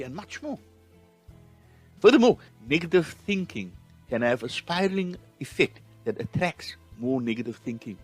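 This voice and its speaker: A man's voice: mid-range voice, husky. A man with a South African accent hosting a motivational podcast, speaking in an earnest, encouraging way. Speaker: podcast host.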